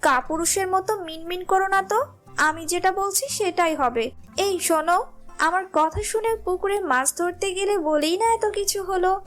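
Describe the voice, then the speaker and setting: A woman's voice: very high-pitched, normal speed, a bit bright, faint music behind. A woman voicing a greedy, bossy, discontented wife in a cartoon moral story, with a nagging, exclamatory way of talking. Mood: bossy, scornful.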